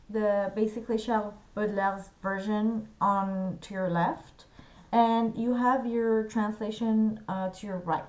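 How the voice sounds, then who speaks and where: A woman's voice: on the high side, darkish tone. A woman casually explaining a poem she loves, hesitant and earnest, with frequent filler sounds and restarts. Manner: earnest, personal enthusiasm. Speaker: poetry video host.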